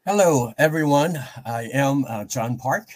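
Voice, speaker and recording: A middle-aged man from South Korea with a Korean accent, explaining things politely and in a measured way as an interview guest. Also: medium pitch, lively intonation. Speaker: interview guest, professor and preaching pastor. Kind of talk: conversational interview.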